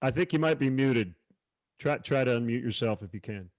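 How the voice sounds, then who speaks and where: A man's voice: mid-range voice, lively intonation, a little fast, dry recording, clean studio sound. A man working as an announcer, hosting a virtual sports panel discussion. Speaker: panel host, baseball announcer.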